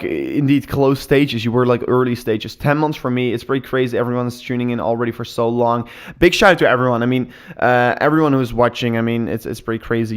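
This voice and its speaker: A young man hosting a live cryptocurrency stream in casual chat, speaking English as a learned second language, excitable and full of repeats and restarts. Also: medium pitch. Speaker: crypto livestream host.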